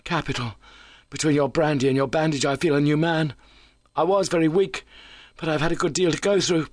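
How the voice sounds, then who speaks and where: A man voicing an overwrought but plucky young injured engineer in an audiobook, excitable, earnest and well-mannered. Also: a little high, a little fast, no echo, voice pushed up, close to an everyday voice. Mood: agitated, earnest. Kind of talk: audiobook character voice.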